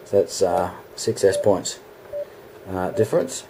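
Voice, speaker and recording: Deep-voiced man over loud radio noise. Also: steady tone, measured pace. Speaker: amateur radio hobbyist presenting a video. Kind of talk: casual off-the-cuff equipment demonstration. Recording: noisy recording with radio hiss and hum.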